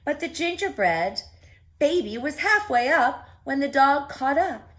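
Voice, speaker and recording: A woman reading a picture book aloud for preschoolers, expressive and speaking directly to her young listeners. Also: high voice, a little slow. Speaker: children's story reader.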